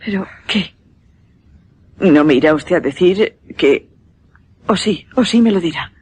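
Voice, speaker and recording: A woman's voice: on the low side, animated, a little slow, darkish tone, breathy edge, heavily acted. An actress with a Castilian Spanish accent playing a polite, frightened but curious young lady writer, earnest and pleading, in a suspense radio play. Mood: pleading, eager. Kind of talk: suspense radio drama acting.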